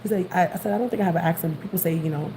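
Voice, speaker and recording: A woman's voice: lowish voice, rapid-fire. A woman from Queens with a New York accent, chatting casually to viewers on a livestream while out on the street. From New York City, Queens. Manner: rambling, chatty, greets viewers. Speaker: vlogger. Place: outdoors on the street. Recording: noisy background.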